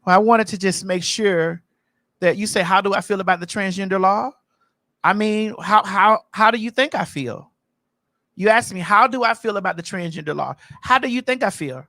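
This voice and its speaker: Fortyish woman co-host, loud and blunt, bright sharp voice, dry close sound. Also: medium pitch, moderate pace, no room sound. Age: 40s. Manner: loud, blunt, profane, animated. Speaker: podcast co-host. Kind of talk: casual chat.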